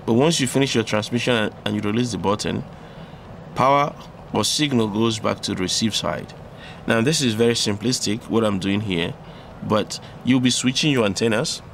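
A man presenting an unscripted electronics tutorial, explaining step by step in a halting way. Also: fairly low pitch, fairly bright tone. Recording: clearly audible background noise.

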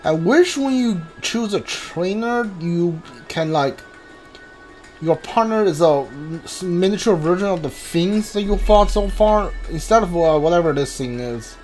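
A video game player giving casual commentary, thinking aloud in a halting way. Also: mid-range voice, expressive, a little mellow, faint music behind.

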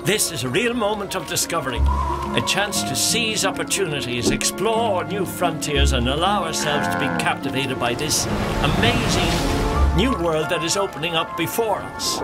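An actor playing a pompous, excitable male nature documentary presenter in a mock-serious animated short, theatrical and self-important. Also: on the high side, normal speed, lightly acted. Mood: excited awe. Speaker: narrator. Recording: music bed behind the voice.

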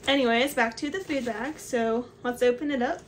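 A woman's voice: fairly high pitch, normal speed, a little hiss or hum. Chatty young woman showing her gear. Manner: chatty, explains while holding up each item. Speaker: hiking vlogger. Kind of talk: casual gear walkthrough to camera. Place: small home office with a window, indoors.

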